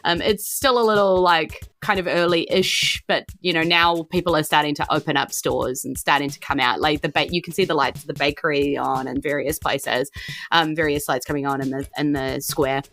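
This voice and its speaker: Lower-voiced New Zealand woman narrating a game. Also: fairly even intonation, normal speed, fairly bright tone. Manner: informal, hesitant, loosely descriptive. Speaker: game master. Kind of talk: improvised tabletop game narration.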